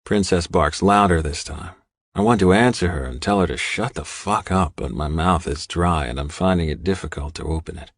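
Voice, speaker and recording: A man's voice: sits low, normal speed, no echo. A man reading first-person dramatic fiction as an audiobook narrator.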